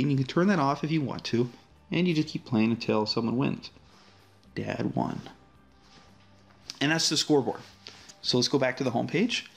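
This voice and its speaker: Relaxed man demoing an app. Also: mid-range voice, gravelly. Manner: relaxed, friendly walkthrough with frequent fillers. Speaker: app developer. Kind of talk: casual screen-recorded app demo.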